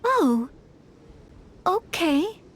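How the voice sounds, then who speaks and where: A woman voicing an earnest, modest young maid who hopes to be a knight, polite and gentle, in a video game. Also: extremely high pitch, big pitch swings, extremely slow, a little hiss or hum, full character voice. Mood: flustered, helpful. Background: game music.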